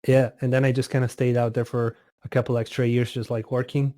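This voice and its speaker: Low-key young Italian man, flat delivery. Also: a little fast, no echo, studio quality. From Italy. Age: 20s. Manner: relaxed, laughs often, hesitant fillers. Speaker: guest, music producer. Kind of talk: casual interview over a call.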